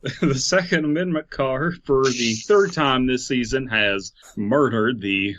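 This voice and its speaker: A man's voice: medium pitch, measured pace, fairly bright tone. A man co-hosting a podcast in a casual, joking group chat, swearing freely. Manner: profane, joking. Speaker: podcast co-host. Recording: muffled mic with background hiss.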